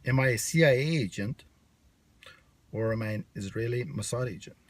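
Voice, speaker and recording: A man's voice: medium pitch, normal speed, a little hiss or hum. A man from the United States talking in a brash, sarcastic, rambling monologue to camera. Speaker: channel host. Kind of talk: casual vlog monologue.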